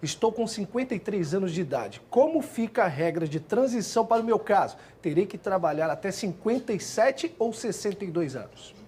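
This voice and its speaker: A Brazilian woman co-hosting a studio news segment, her mid-range voice rising and falling in a lively way. She chats easily with the guest and reads out questions. Faint background hiss. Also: mid-range voice, brisk, few pauses. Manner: friendly, conversational with guest. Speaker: TV news presenter. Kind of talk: live news presenting.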